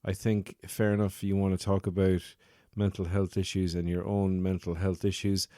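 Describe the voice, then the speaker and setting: A man's voice: sits low, little pitch movement, normal speed, mellow, no room sound, clean studio sound. A man hosting a solo comedy podcast, talking in a wry, rambling, self-mocking way. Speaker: podcast host.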